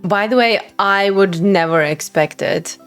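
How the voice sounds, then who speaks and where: Girl streamer gushing over her game, excitable, medium pitch, faint game music behind. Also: measured pace, clear, resonant tone. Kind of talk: live game playthrough reaction commentary.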